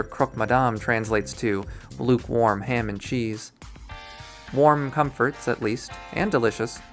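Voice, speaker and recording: Male game readthrough narrator, mid-pitched, reading scripted prose with wry drama over background music. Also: fairly bright tone. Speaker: visual novel readthrough player and narrator.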